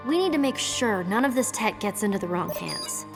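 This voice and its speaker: A woman's voice: medium pitch, expressive, normal speed, vocal fry, over music. A woman playing a worried, earnest teenage girl hero in a cartoon video game, speaking with urgency. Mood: concerned.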